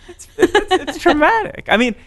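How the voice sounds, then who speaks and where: A man's voice: very high voice, very animated, a bit bright, dry recording. A man hosting a podcast interview, joking and laughing easily in casual chat. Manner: playful, jokey, quick to laugh. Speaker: podcast host.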